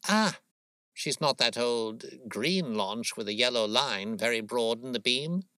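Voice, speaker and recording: A man voicing a shrewd, smooth-talking gentleman detective, suave and probing, in an audiobook story. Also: mid-range voice, a little slow, no room sound, pitched above the performer's own voice. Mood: bland, persuasive.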